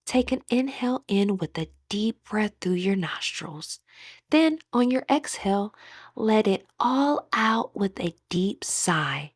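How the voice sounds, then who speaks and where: A woman leading a scripted guided meditation in a soothing, deliberate manner that often softens into breathiness, recorded close on a voice-over microphone. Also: medium pitch, big pitch swings, breathy edge, no room sound.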